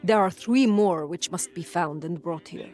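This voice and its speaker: A woman's voice: fairly low pitch, moderate pace, darkish tone, close to an everyday voice. A woman playing a resolute, commanding warrior leader in a dramatic video game cutscene.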